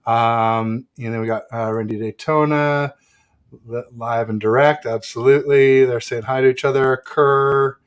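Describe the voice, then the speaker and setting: Man hosting casual sports talk, halting delivery. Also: medium pitch, fairly even intonation, clean tone. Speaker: host of a sports talk livestream. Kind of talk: casual sports chat with a guest and live chat.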